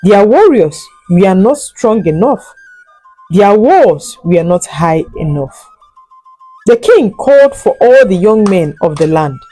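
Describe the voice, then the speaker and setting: A woman narrating a scripted folk tale in a solemn, deliberate and dramatic storytelling manner. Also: medium pitch, very animated, warm tone, dry recording, faint music behind, poor audio.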